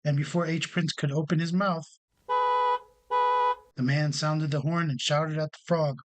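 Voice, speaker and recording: A man's voice: fairly high pitch, fairly even intonation, measured pace, very noisy. A man narrating a children's storybook as a read-along storyteller.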